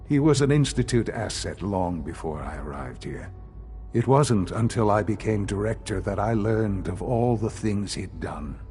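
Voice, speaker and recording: An actor playing an elderly male leader of a secretive science institute in a video game, speaking in a measured, formal, gravely earnest way. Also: on the low side, lively intonation, warm tone, soft background music, lightly acted. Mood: measured, defensive. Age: older. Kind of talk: video game dialogue acting.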